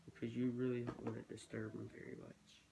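A man's voice: mid-range voice, completely flat delivery, a little fast, creaky. A teenage boy talking casually about his hobby while he works, stuffy with a cold and rambling as he thinks aloud. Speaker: reptile-keeping vlogger. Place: small bedroom, indoors. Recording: noisy home webcam recording.

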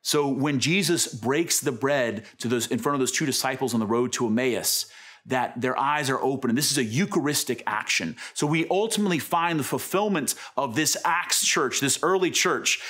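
Thirtyish priest guy teaching to camera, lively and gesturing, lots of ums and restarts, studio mic. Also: medium pitch. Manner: animated, gesturing, frequent fillers and restarts. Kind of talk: unscripted teaching talk to camera. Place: dark indoor studio with brick wall.

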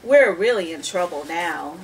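A woman's voice: fairly low pitch, lively intonation, unhurried, distant mic, a little hiss or hum, pitched below the performer's own voice, low-quality recording. A woman voicing a nervous, bossy young boy in a storybook, emphatic and impatient. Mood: alarmed, urgent.

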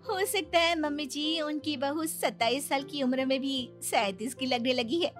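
A woman's voice: high voice, normal speed, faint music behind, lightly acted. A woman playing a sweet, coy young daughter-in-law in a moral-story cartoon. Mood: smug, coy.

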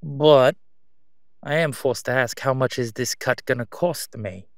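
A man's voice: mid-range voice, no room sound, clean studio sound, natural voice. Man acting out a sleazy, menacing crime boss. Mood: haggling. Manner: oily, taunting, drawn-out delivery with stalling pauses. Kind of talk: solo audio roleplay acting.

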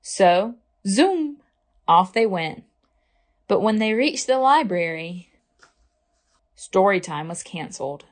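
Slow-paced woman reading a picture book aloud. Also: medium pitch. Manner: expressive, deliberate phrasing. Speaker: library storytime reader. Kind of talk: scripted picture-book read-aloud for children.

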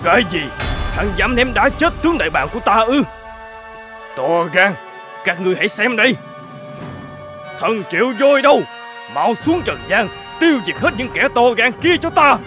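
A man playing a wrathful, commanding old emperor of heaven in an animated fairy tale, stern and imperious. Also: high voice, moderate pace, long pauses, over music. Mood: outraged.

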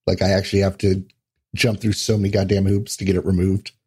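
A man's voice: low voice, little pitch movement, quick pace, warm tone, breathy edge. A man chatting casually as a podcast guest, with easygoing swearing in his conversational talk. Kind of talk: casual, profane chat.

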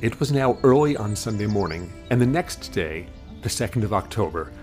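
A man's voice: fairly low pitch, animated, moderate pace, a little mellow, faint music behind. A man narrating a scripted audio drama as its storyteller.

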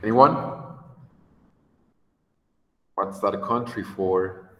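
A man's voice: fairly low pitch, extremely slow, echoey room, big-room sound. A Mexican man in his thirties with a Mexican accent, an English teacher giving an online class with patient encouragement. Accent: Mexican Spanish. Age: 30s. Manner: patient, encouraging, switches to Spanish to explain. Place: home room on a video call. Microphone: video call.

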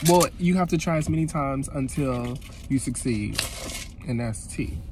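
A man's voice: medium pitch, lively intonation, normal speed. A young man chatting casually to his phone camera from inside a car, laid-back and self-mocking. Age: young adult. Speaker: vlogger. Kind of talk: casual vlog chat. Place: parked car interior. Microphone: phone held at arm's length. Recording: noisy car interior.

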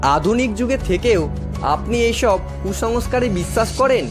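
A man's voice: very high-pitched, lively intonation, moderate pace, over music, pitched above the performer's own voice. A man voicing a sceptical, questioning city office worker stranded at a station in a cartoon horror story. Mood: incredulous.

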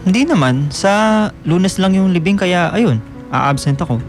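A man's voice: sits high, animated, measured pace, soft background music. An actor playing an easygoing teenage boy in a radio drama, casual, a little evasive and exasperated. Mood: matter-of-fact.

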